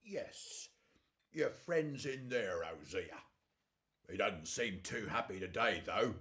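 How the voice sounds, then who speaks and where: An actor playing a gruff but offhandedly friendly jailer in a radio play, with a casual way of talking. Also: male voice, on the low side, animated, slow, fairly bright tone. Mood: offhand, cheery.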